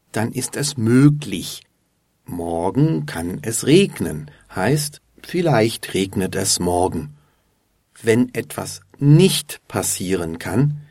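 A man hosting a lesson podcast, slowly and patiently explaining grammar to learners. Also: fairly low pitch, a little mellow, dry recording, clean studio sound. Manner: deliberate and patient. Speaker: German teacher and podcast host. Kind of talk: slow, clear explanation for learners.

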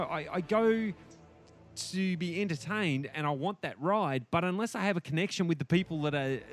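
An Australian man chatting informally as a podcast guest, colloquial and given to emphatic repetition. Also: sits high. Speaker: guest podcaster and film reviewer. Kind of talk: casual podcast chat.

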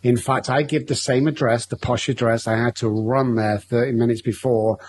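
Husky-voiced man with a Manchester accent. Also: mid-range voice, steady tone, normal speed, darkish tone. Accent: Mancunian English. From Manchester, England. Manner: candid, rambling storytelling with repeated restarts. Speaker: guest. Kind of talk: interview storytelling.

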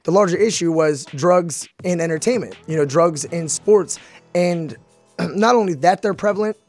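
A man's voice: sits high, moderate pace, darkish tone, faint music behind. An American man hosting a casual studio podcast, talking in a blunt, informal way. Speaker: podcast host.

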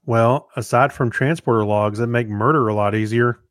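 A man's voice: medium pitch, fairly even intonation, normal speed, no room sound, clean studio sound. A man co-hosting a podcast, talking in a casual, conversational way. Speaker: podcast co-host.

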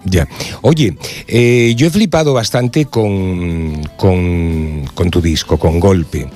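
A man's voice: sits low, slow, a little mellow, soft background music. A man hosting a casual radio interview, chatty and hesitant, with lots of fillers. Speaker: radio host.

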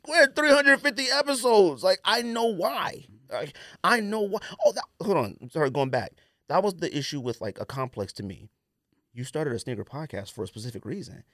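Animated man ranting on a podcast. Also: a little high, moderate pace, no echo, clean studio sound. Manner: emphatic, repeats phrases, slangy and blunt. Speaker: podcast host. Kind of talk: casual sneaker podcast chat, ranting.

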